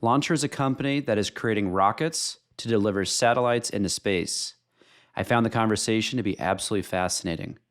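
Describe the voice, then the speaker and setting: A man hosts the show in a low, even voice. He reads his intro and sponsor spot in a polished way, then asks his guest questions. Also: fairly quick. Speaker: podcast host.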